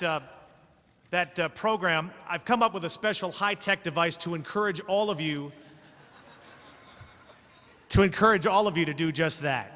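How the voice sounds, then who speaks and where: A man hosting a live show, addressing the audience informally and haltingly, repeating phrases. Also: sits high, sharp tone. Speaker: host of a live theater show. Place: on stage in a theater. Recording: reverberant theater hall.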